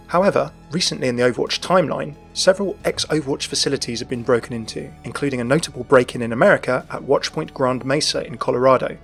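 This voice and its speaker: A man's voice: medium pitch, a bit bright. A man narrating a scripted video about the lore and backstory of a game character.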